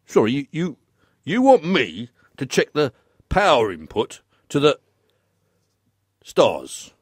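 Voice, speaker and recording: A man's voice: mid-range voice, huge pitch swings, takes it slowly, husky, dry recording, studio quality, heavily acted. A man playing an obliging, bemused maintenance worker in a comic radio play, polite, hesitant and puzzled but eager to please.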